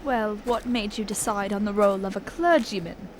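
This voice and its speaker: A woman acting a loyal gentleman sidekick in an amateur audio drama, polite, proper and earnest. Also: mid-range voice, moderate pace, a little hiss or hum, lightly acted. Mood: amiable, apprehensive.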